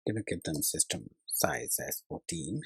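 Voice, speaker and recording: A man's voice: a little slow, dry recording. A man narrating a step-by-step coding tutorial as its instructor, hesitantly thinking aloud as he works.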